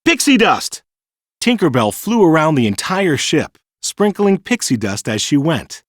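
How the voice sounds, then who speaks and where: A man reading a children's storybook in an animated, dramatic storytelling manner. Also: on the high side, normal speed, no room sound, studio quality. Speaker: storybook narrator. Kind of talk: scripted children's storybook narration.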